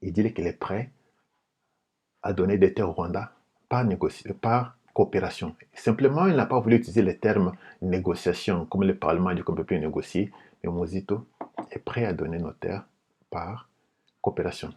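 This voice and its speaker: A man with a Congolese French accent giving informal political commentary in a halting, repetitive, explanatory way. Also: low-pitched, darkish tone, breathy edge. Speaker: political commentator and video host.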